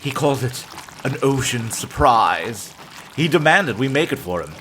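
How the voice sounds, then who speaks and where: An obliging, slightly hesitant train waiter in audio comedy. He speaks politely at middling pitch with very lively intonation. There is clear background noise. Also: measured pace, poor audio. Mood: earnest explaining. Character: obliging, hesitant male dining car attendant. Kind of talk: comedic audio drama acting.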